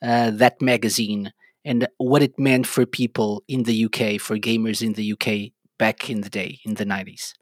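A man's voice: lowish voice, flat delivery, normal speed, no echo. Male host from Portugal, hesitant questioning style, dry close recording. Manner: hesitant, many "uh" fillers and restarts. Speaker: podcast host. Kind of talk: casual interview chat.

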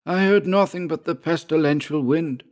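A man voicing an injured, proud landowner softening toward his old enemy, speaking in a strained, halting way with a hoarse edge. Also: a little high, voice pushed up, natural voice. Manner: slow, jerky, strained delivery.

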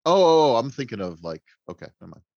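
A man's voice: mid-range voice, very animated, fast talker, gravelly, darkish tone. A man chatting casually as a podcast guest, with a jokey, exclamatory way of talking. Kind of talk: casual chat. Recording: dull lo-fi audio.

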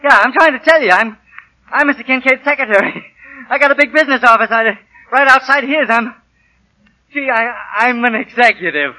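High-voiced flustered office husband. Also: male voice, fairly quick, bright, forceful tone, exaggerated voice. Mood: excited, elated. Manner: hesitant, self-important, stammering. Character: proud, flustered young husband promoted to office executive. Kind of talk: 1940s radio acting. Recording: dull 1940 radio broadcast recording.

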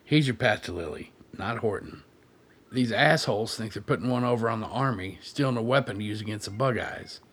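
An actor playing a gruff, cynical militia man in a radio play, blunt, plain-spoken and foul-mouthed. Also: lowish voice, brisk, a bit bright. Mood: contemptuous.

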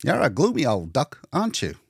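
A man's voice: mid-range voice, wildly swooping pitch, brisk, creaky, husky, dry recording, clean studio sound, voice pushed up, full character voice. Man putting on a breezy, lilting hospital orderly. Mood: teasing.

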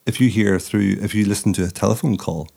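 A man's voice: on the low side, fairly even intonation, fast talker, creaky, dark tone. A middle-aged man hosting a casual interview, rambling and thinking aloud with many restarts. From not from the Republic of Ireland. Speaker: podcast host.

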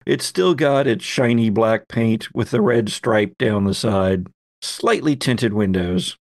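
A man's voice: on the low side, slow, no room sound, studio quality. A man narrating a tabletop game as its game master, setting scenes in descriptive detail.

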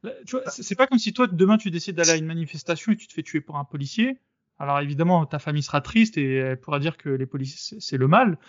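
A man hosting a podcast, arguing in a casual debate and often pausing with fillers and restarts. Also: a little high, expressive, no room sound, highs cut off. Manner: hesitant, many fillers and restarts. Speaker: podcast host.

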